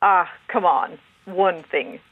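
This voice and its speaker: A woman's voice: lowish voice, slow pace. A middle-aged woman from Denmark who grew up in Sweden, calling in to a radio show and teasing the host playfully. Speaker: caller. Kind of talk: phone-in chat. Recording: tinny phone line.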